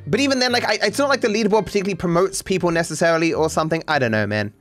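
A man in his thirties, a streamer who rambles frankly through chat comments, swearing casually, at a studio mic in a dark room. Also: sits high, animated, racing, soft background music. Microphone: studio mic on boom arm, headphones.

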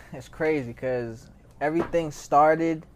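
A man's voice: a little high, slow pace, a little hiss or hum. A nineteen-year-old man from Haiti with an American accent, talking casually and slangily as an interview guest, often repeating phrases. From born in Haiti, raised in Maryland from age seven. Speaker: interview guest, record label founder.

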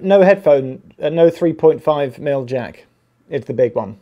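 Guy in his 30s, bit high pitched but mellow, just chatting tech. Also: animated, measured pace, lo-fi sound. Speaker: podcast panellist. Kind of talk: casual tech chat. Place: small home room, video call.

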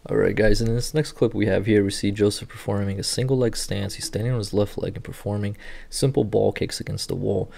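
A man in his thirties, a native Portuguese speaker, who works with rehab athletes and calmly walks viewers through training clips, recorded indoors on a webcam. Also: fairly low pitch, a little fast, gravelly. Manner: steady, instructive walk-through of clips. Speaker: sports rehab clinician. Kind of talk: explanatory video commentary. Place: indoor room.

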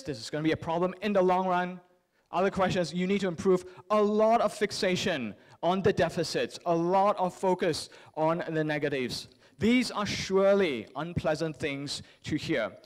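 A man in his thirties giving an earnest motivational psychology keynote at a podium microphone, phrasing deliberately with repetition and rhetorical questions. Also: sits high. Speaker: keynote speaker. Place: large convention hall, on stage at a lectern.

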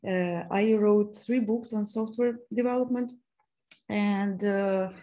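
A woman in her thirties with a Ukrainian accent, hosting an online learning event over a video call, talking haltingly with many fillers and restarts. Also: mid-range voice, fairly even intonation, warm tone. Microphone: laptop mic on a video call.

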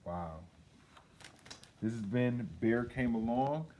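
A middle-aged American man reads a picture book aloud in a casual way. His voice is mellow and medium in pitch, and he takes his time with each phrase. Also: slow, a little hiss or hum. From Detroit, United States. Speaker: guest storybook reader, radio station employee. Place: school library, seated indoors.

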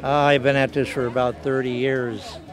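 A man's voice: mid-range voice, level tone, normal speed, a crowd in the background, low-quality recording. A middle-aged man, a metal artist, explaining his technical process plainly at an outdoor booth. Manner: matter-of-fact, technical. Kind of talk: casual interview answers.

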